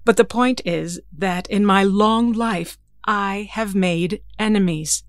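A woman's voice: mid-range voice, takes it slowly, no echo, lightly acted. An actress playing an ageless mutant mother in an audio drama, cold, guarded and authoritative in clipped phrases. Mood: guarded, regretful. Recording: music under the dialogue.

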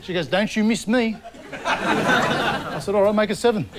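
A man doing a fast, shouty stand-up routine, heard from a distance. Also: high voice, a loud crowd, very noisy. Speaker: stand-up comedian.

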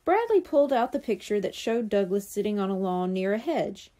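A woman reading a children's storybook aloud in an expressive, animated way, acting out the dialogue. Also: medium pitch, a little fast. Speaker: audiobook reader.

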